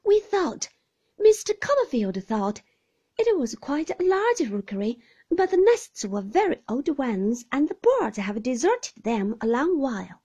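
A woman's voice: sing-song, unhurried, mellow, slightly rough, heavily acted. Woman putting on a trembling, fragile young mother. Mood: wounded. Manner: high, trembling and helpless.

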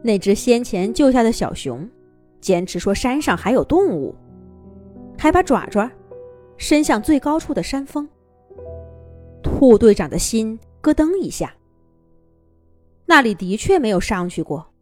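Lively woman telling a children's story. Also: a little high, a little slow, pauses often, soft background music. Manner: expressive, lilting narration.

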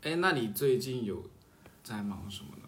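A man's voice: mid-range voice, very animated, normal speed, fairly bright tone. Man chatting casually, faint background noise. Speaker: podcast co-host. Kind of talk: casual chat, personal storytelling.

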